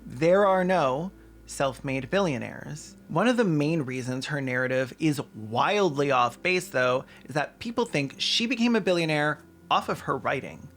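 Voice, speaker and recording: A man's voice: fairly high pitch, lively intonation, a little slow, a bit bright, soft background music. A man delivering scripted commentary in a sardonic way, with dry asides and crude humor. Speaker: video essayist and host.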